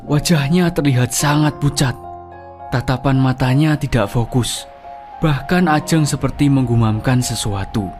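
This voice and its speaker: A man delivers scripted story narration. His pitch is average for a male voice. Music plays clearly behind him. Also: unhurried.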